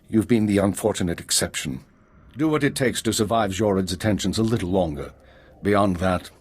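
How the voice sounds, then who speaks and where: An actor playing a guarded intelligence chief in a video game, measured, formal and authoritative. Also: male voice, low voice, breathy edge. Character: grave, guarded male intelligence spymaster. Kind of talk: video game drama acting.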